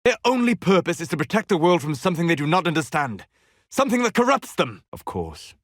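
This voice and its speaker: A male actor playing a learned, grave and dryly scornful elven mage in a video game, speaking in measured, formal, articulate lines. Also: fairly high pitch, big pitch swings, no room sound, studio quality. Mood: bitter, scornful. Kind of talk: dramatic game dialogue lines.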